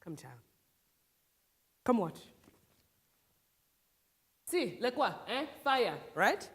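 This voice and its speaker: A woman playing a proud, bitter Nigerian village woman accused of witchcraft in a theatrical stage monologue, with a Nigerian accent, taunting delivery and loaded pauses. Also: a little high, sing-song, full character voice. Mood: scornful, brooding. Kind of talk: solo dramatic stage monologue.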